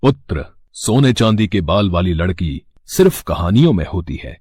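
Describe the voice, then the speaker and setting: A man's voice: sits low, very animated, moderate pace, darkish tone, slightly rough, voice pushed down, full character voice. A man voicing a stern but concerned royal father in a fairy tale, admonishing in manner. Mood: skeptical.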